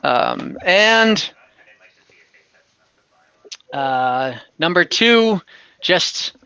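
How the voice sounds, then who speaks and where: A man's voice: sits high, lively intonation, drawn-out words, bright, forceful tone, smooth, dry recording. A man working as game master, narrating an online tabletop game casually and thinking aloud in a hesitant, improvised way. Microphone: online call microphone.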